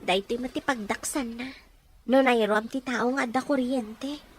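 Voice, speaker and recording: A woman's voice: a little high, steady tone, normal speed, breathy edge. A woman with an Ilocano accent playing a grumbling, suspicious woman in a radio drama. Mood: complaining.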